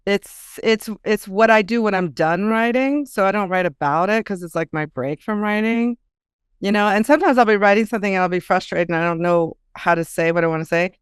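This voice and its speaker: Female author guest, smooth clear voice, animated conversational storytelling, dry close sound. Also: mid-range voice, a little fast, dry recording. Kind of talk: casual interview chat.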